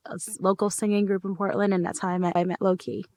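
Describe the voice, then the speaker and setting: A young woman from Egypt, a college student, calmly introducing herself on a podcast. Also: medium pitch, quick pace, dry recording. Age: young adult. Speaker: student guest. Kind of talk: casual self-introduction.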